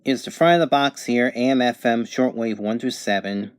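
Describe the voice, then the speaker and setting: A man giving a casual, unscripted product review at home, thinking aloud in halting phrases. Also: medium pitch, clean tone, dry recording, studio quality. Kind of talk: casual unboxing and review narration. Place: home bedroom, indoors.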